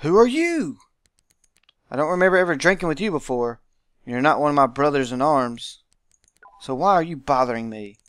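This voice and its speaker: A man's voice: on the high side, big pitch swings, moderate pace, long pauses, fairly bright tone, clean tone, dry recording, studio quality. A man voicing a brusque, grumbling male veteran, a visual novel character bitter about his office service. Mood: suspicious, brusque.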